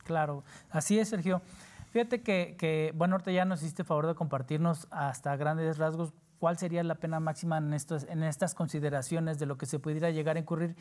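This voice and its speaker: A woman's voice: low voice, fairly even intonation, brisk, a little mellow. A woman with a Mexican accent talking as a panelist on a discussion show, explaining in formal, wordy, roundabout phrases. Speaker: talk show panelist on tax matters.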